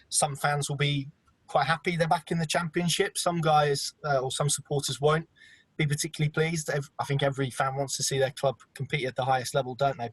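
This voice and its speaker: A male host with a slight Norfolk accent and a somewhat higher voice opens a casual football chat, often stumbling and repeating words as he begins. The recording is dry and close, with no room sound. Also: no echo. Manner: hesitant, repeats words when starting sentences.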